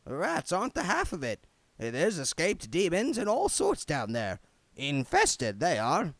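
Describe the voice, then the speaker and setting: A man's voice: a little high, huge pitch swings, measured pace, dry recording, pitched above the performer's own voice, full character voice. A man voicing a sly, eager elderly wizard with an emphatic, wheedling manner in a storybook reading. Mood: sly, coaxing. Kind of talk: audiobook character voice.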